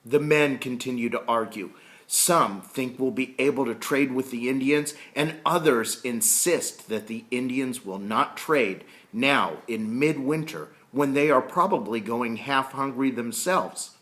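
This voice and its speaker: A man reading a historical novel aloud as a storyteller, deliberate and explanatory. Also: mid-range voice, a bit bright. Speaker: reader reading a book chapter aloud.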